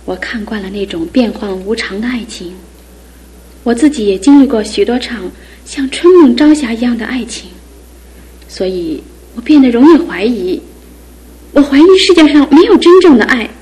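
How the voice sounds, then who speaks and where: A young woman wary of love in a studio radio play. Her voice is slightly high and husky, and she probes with questioning, wistful doubt. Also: expressive, moderate pace, warm tone, rough recording. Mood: doubtful. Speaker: fiancé's sister. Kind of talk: studio radio drama acting.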